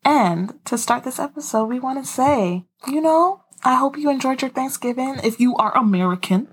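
A woman's voice: sits high, expressive, normal speed, slightly rough. A young woman co-hosting a podcast in casual chat, laughing often and telling stories with quoted asides. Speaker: podcast co-host.

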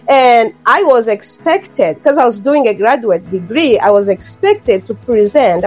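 Woman with a higher voice. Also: a little mellow. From Rwanda. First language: Kinyarwanda. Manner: earnest, repeats phrases, frequent ums. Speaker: guest author. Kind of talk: interview conversation.

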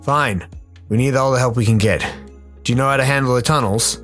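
A man's voice: mid-range voice, fairly quick, fairly bright tone, faint music behind, close to an everyday voice. Man voicing a curt, bossy expedition leader. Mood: curt, commanding. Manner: bossy, impatient. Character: curt, weary underhive cook leading a dangerous expedition. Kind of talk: radio play character acting.

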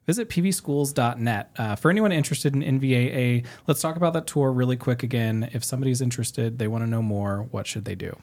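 A man's voice: mid-range voice, darkish tone. An American man hosting a podcast, asking prepared interview questions and giving information to listeners. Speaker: podcast host.

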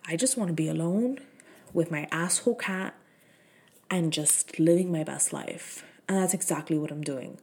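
A woman's voice: sits low, moderate pace, darkish tone. A woman, hosting her own podcast in frank, profane, filler-heavy casual chat. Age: 30s. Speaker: podcast host.

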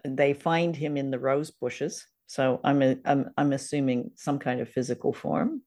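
A woman's voice: rumbling low, fairly even intonation, normal speed, warm tone. A woman podcast host casually discussing old radio drama.

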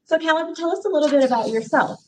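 A woman's voice: sits high, racing, a little hiss or hum. A woman hosting a podcast, upbeat and enthusiastic, chatting casually with her guest and laughing often. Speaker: podcast host. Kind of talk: casual interview chat.

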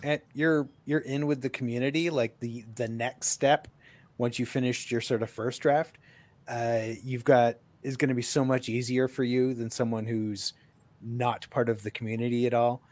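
A man's voice: medium pitch, fairly even intonation, normal speed, a little mellow. A man in a casual chat, talking as an author among fellow writers. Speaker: published author on a panel.

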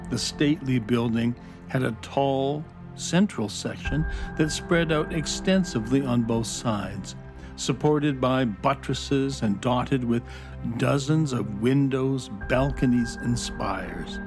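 Slow, measured male storybook narrator. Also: medium pitch, music in the background. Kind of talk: scripted children's story narration.

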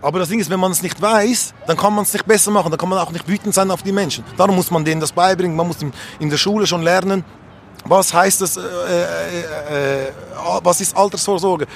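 A man's voice: high voice, fairly quick, gravelly. A young man speaking spontaneously and earnestly in a street interview, giving his opinions insistently. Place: outdoors on an urban street. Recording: faint urban street and traffic noise.

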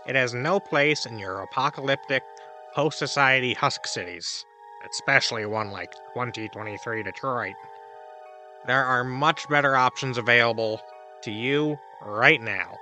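A man's voice: mid-range voice, sharp tone. A man playing a theatrical, flippant advice host in a scripted comedy monologue, with an arch, wry delivery.

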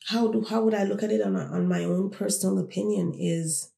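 Gravelly, mellow-voiced podcast host. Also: mid-range voice, fairly quick, roomy sound. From born in Jamaica, raised in Toronto. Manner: reflective, conversational, addresses listeners directly. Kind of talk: casual solo podcast talk.

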